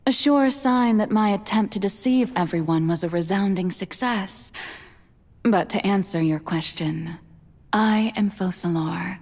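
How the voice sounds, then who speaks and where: A woman voicing a playful, elegant, theatrical goddess in a video game, with lilting speech and breathy asides. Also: mid-range voice, measured pace, a little mellow, long reverb tail. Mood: amused, teasing.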